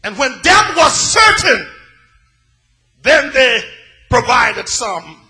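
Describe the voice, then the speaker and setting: A man from West Africa preaching an impassioned sermon, dramatic, moving between hushed gravity and loud, repeated cries. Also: very high voice, big pitch swings, slow pace, vocal fry, faint music behind. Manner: dramatic, swinging from hushed grave passages to shouted repetition.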